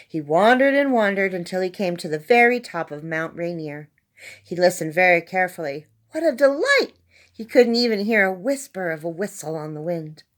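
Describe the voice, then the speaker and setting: A woman's voice: mid-range voice, fairly bright tone. A woman reading a children's story aloud as narrator, expressively and with dramatic emphasis on key words. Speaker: storybook reader.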